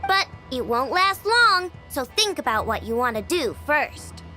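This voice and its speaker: A small, level-headed girl from a video game, who takes charge. Her voice is extremely high and childlike, with lively melodic movement over clear background music. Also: unhurried. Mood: matter-of-fact. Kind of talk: animated video game voice acting.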